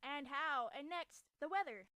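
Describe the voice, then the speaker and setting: A young woman playing a chipper 1920s radio news co-host, speaking in a perky, brisk way with period slang. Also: high-pitched, background noise. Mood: cheery. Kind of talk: scripted 1920s radio news show acting.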